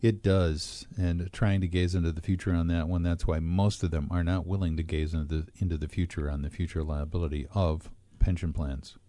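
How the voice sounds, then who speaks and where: A man co-hosting a retirement radio show, explaining pension plans and interest rates in a measured way with frequent fillers. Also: sits low, flat delivery, dark tone, dry recording. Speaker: financial advisor co-host. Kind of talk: radio talk show discussion.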